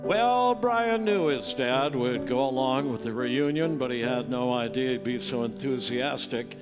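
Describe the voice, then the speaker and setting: A man's voice: medium pitch, expressive, a little slow, lightly acted. A man narrating a holiday radio play as a scripted storyteller. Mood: storytelling. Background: loud plucked guitar music.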